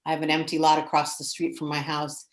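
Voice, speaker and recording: A woman's voice: deep voice, completely flat delivery, quick pace. A woman speaking earnestly and frankly as a panelist in an online discussion. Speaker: panelist with planning background. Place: remote video call. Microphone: video call.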